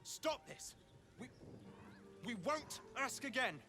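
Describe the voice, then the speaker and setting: A man's voice: extremely high pitch, lively intonation, slow pace, over music, full character voice. An actor playing a worried, earnest young village man in a fantasy video game, plain-spoken and rustic. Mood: pleading. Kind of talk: video game character acting.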